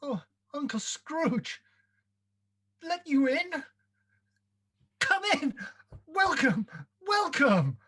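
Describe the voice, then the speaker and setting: A woman's voice: sits high, very animated, extremely slow, pauses often, a bit bright, full character voice. A woman playing a hearty, welcoming young nephew in a radio play, with exclamatory delivery. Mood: surprised, joyful. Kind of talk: radio play reading of Victorian story.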